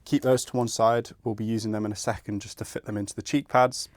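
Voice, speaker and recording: A man's voice: lowish voice, flat delivery, fast talker, no echo. A man presenting an installation tutorial, explaining each step in a methodical, informal way. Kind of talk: instructional step-by-step tutorial narration.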